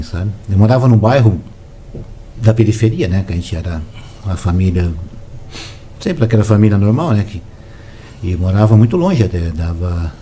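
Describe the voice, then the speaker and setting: A man, a relaxed, soft-spoken storyteller reminiscing in a casual interview. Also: on the low side, slight background noise. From São Paulo, Brazil. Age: 60s. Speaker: interview guest, former footballer.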